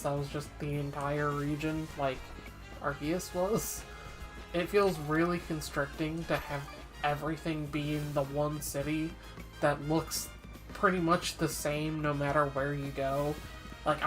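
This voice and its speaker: A low-pitched woman talks through her gameplay in a relaxed, rambling way, full of ums and frank takes. Her voice sounds a little congested and sniffly. Soft game music plays faintly underneath. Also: low-quality recording. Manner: chatty, frequent ums and uhs, candid opinions. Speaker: streamer. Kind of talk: casual gameplay commentary.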